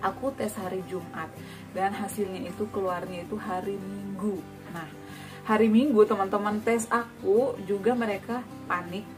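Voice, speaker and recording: A woman's voice: medium pitch, fairly even intonation, normal speed, far from the mic, music in the background. A woman in her thirties casually sharing a personal story, chatty, with frequent tag words and hesitations.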